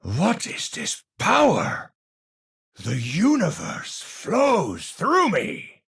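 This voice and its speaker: An eccentric male astrophysicist from a video game, with a Dutch accent. His voice is slightly rough and breathy and moves at a slow, measured pace. The pitch ranges enormously, giving his learned, theatrical phrasing a grandiose, unstable edge. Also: wildly swooping pitch, fairly bright tone, heavily acted. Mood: awestruck, unhinged. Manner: theatrical, professorial, slow and deliberate wording.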